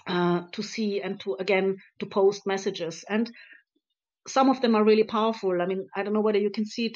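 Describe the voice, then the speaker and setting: Earnest woman presenting slides on a video call. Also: medium pitch. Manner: many ums and uhs, earnest and encouraging. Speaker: conference speaker on activism. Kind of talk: presenting slides in a webinar talk. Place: home room on a video call. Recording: slightly dull lo-fi video call audio.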